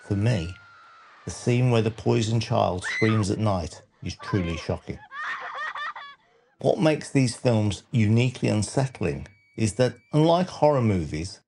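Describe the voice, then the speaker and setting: A man narrating a scripted countdown documentary with a measured, dramatic delivery. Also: lowish voice, lively intonation, vocal fry, noisy background. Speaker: narrator and channel host.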